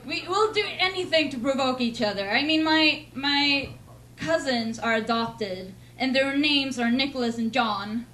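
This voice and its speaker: A young woman from Sweden with a Swedish accent, doing a deadpan, self-mocking stand-up set in a comedy club. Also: sits high, unhurried. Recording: noisy club recording.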